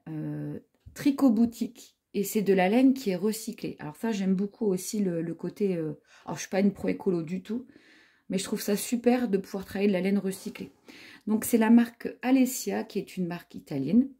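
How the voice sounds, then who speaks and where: Husky, mellow-voiced woman in her forties. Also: medium pitch, moderate pace. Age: 40s. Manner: hesitant, many fillers and restarts. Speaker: knitting vlogger. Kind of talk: casual product review chat.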